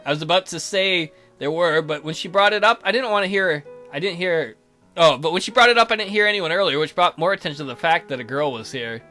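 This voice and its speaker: An awkward teenage schoolboy tells his own story in a visual novel, read by a man. He is hesitant and stammers over his words. The voice is bright, with faint music underneath. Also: a little high, fast talker.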